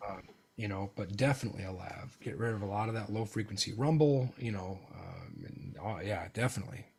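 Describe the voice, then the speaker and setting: A man with a hoarse, gravelly voice, chatting casually as a guest about live sound mixing, with plenty of fillers. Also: lowish voice, very animated, brisk, darkish tone. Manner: hedging, lots of fillers. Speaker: audio engineer guest. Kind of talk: casual chat.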